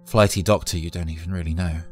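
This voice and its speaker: A man's voice: deep voice, fairly even intonation, quick pace, soft background music. A man narrating a scripted first-person horror story in a dramatic, tense storytelling manner.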